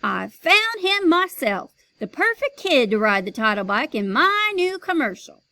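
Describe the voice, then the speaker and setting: A woman reading aloud, voicing a loud, bossy, cigar-chomping male company president who shouts his demands. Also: high voice, big pitch swings, unhurried, dry recording, pitched above the performer's own voice, exaggerated voice. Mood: excited.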